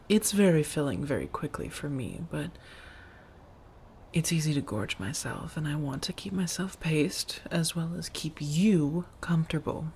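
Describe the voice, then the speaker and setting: A woman playing an affectionate, teasing vampire roommate in an intimate ASMR roleplay, speaking softly and breathily to the listener. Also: low-pitched, slight background noise. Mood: tender, concerned. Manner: gently teasing, drawn-out phrasing, soft chuckles.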